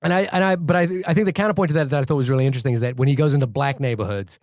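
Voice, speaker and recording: Man, film podcast co-host, casual talk, rambling, pitch leaps up often, dry close mic. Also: racing, dry recording. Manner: animated, rambling, voice jumps up when starting points. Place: New York.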